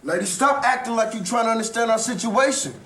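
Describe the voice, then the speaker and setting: A defiant streetwise teenage boy, blunt and confrontational in casual slang. His voice runs high, ringing in an echoey room as in a movie scene. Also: fast talker, a bit bright. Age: young adult. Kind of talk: film drama.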